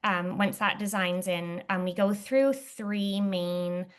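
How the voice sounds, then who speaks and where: Hesitant young woman, a little hoarse. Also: mid-range voice, steady tone, slow. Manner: hesitant, many fillers and restarts. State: slightly hoarse, clearing throat. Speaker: footwear testing lead, interview guest. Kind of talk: casual interview conversation.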